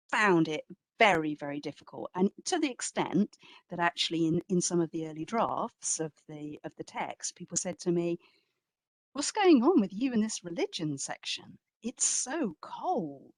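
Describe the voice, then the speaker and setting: Woman, lower voice, close dry sound. Exhibition curator answering questions informally, animated and self-deprecating with emphatic repetition. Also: no echo. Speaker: exhibition curator and guest speaker.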